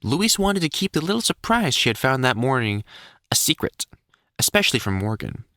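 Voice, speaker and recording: A man reading a whimsical storybook as narrator, in an expressive, measured storytelling manner. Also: medium pitch, no echo, clean studio sound.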